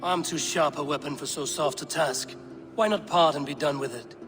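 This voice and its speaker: An actor playing a stalwart Viking warrior in a video game, plain-spoken, terse and firm. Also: male voice, fairly high pitch, normal speed, close to an everyday voice. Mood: dry, wary. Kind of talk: video game cutscene acting. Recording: prominent music behind the voice.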